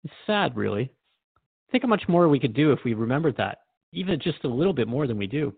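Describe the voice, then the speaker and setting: A man's voice: medium pitch, sing-song, fairly quick, vocal fry, husky, no room sound, exaggerated voice. Man as earnest radio interviewer, tinny dry sound. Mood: reflective. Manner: reflective, conversational, hesitant at times. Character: earnest, thoughtful male radio interviewer questioning a spirit.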